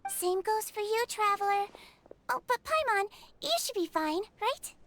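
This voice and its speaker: A woman voicing a small, excitable fairy-like companion creature in a video game. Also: extremely high pitch, a little slow, a little hiss or hum, exaggerated voice. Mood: composed, chatty.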